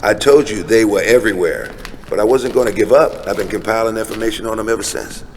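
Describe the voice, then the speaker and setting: An actor playing a streetwise, insistent, world-weary homeless conspiracy believer in an audio drama. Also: male voice, on the low side, fast, natural voice. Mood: bitter, insistent. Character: streetwise, conspiracy-minded homeless man, an earnest mentor. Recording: reverberant hall with steady noise.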